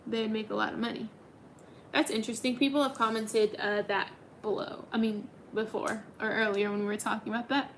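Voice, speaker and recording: A woman's voice: a little high, little pitch movement, a little fast, fairly bright tone, a little hiss or hum. A woman in her twenties hosting a casual livestream, chatting and answering viewer comments with lots of ums. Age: 20s. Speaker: livestream host.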